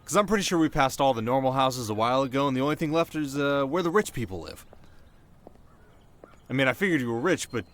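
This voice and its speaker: A man's voice: medium pitch, animated, quick pace, a bit bright, lightly acted. A man playing a cocky, teasing delinquent teenage boy in an ASMR roleplay, talking casually and wryly straight to the listener. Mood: teasing, skeptical. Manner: casual, wry, sarcastic, sometimes flustered. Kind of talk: ASMR audio roleplay, one-sided dialogue to listener. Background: music.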